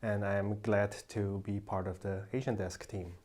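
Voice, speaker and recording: A man's voice: fairly low pitch, level tone, normal speed, darkish tone. A man from South Korea who grew up partly in Austria, explaining things as a podcast guest. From South Korea, moved to Austria at ten. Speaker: podcast guest, corporate lawyer. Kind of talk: explanatory interview talk.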